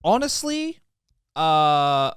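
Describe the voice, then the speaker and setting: A man's voice: a little high, very animated, very slow, fairly bright tone, clear, resonant tone, dry recording, studio quality. A man chatting as a podcast guest, eager and chummy, swearing casually and agreeing with lots of "yeah".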